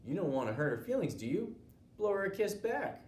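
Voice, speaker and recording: Smug boy bully for a children's storybook, played by a man, pitched up, taunting, in an echoey room. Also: big pitch swings, brisk, darkish tone. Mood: mocking. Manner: mocking, falsely friendly. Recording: roomy echo, noticeable background noise.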